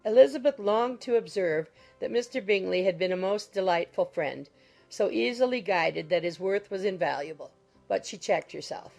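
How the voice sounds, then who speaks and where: A woman's voice: mid-range voice, expressive, moderate pace, vocal fry, a little mellow, faint music behind. A woman reading scripted literary narration as the narrator of a classic audiobook.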